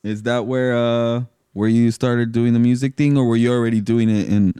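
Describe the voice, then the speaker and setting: A man's voice: medium pitch, steady tone, normal speed, mellow, smooth, dry recording. A man hosting a podcast in casual, informal, slangy conversation. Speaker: podcast host. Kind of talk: casual chat.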